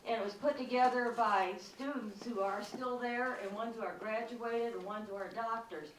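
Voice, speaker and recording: A woman in the audience giving a quick, enthusiastic public comment at a panel. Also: on the high side, fairly even intonation. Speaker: audience member. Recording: roomy hall, distant mic, faint noise.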